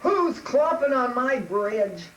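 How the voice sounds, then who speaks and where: A big, ugly, mean old bridge troll, acted out by a woman storyteller, threatens in loud, stretched-out words. The storybook voice is picked up from far off in an echoey room, with some noise. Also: high-pitched, big pitch swings, slow, voice pushed up, full character voice. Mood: menacing. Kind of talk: storybook storytelling for young children. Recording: roomy, distant mic, noisy.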